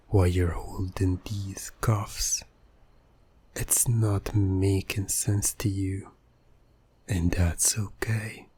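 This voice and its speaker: Guy doing asmr hypnosis roleplay, super slow soft dark voice, breathy, bossy seductive hypnotist. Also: low voice. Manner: slow, commanding, hushed, often slipping toward whispering. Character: controlling, seductive male hypnotist toying with a captive. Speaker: roleplay performer.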